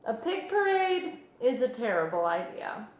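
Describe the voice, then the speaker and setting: Animated, high-voiced woman reading a storybook. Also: lo-fi sound, over music. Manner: animated, emphatic, drawn-out key words. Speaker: storybook reader. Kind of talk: expressive read-aloud of a children's picture book.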